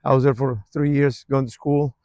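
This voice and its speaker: Gravelly man walking and talking. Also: medium pitch, normal speed, dry recording. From Argentina. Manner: relaxed, rambling, many fillers and restarts. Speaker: real estate vlogger. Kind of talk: walking tour vlog. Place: outdoors in a suburban neighborhood.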